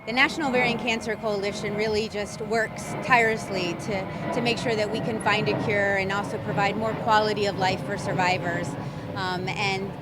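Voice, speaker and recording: A woman giving a halting interview outdoors as a charity representative. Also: mid-range voice, fairly even intonation. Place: outdoors at a public event. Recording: loud outdoor traffic noise.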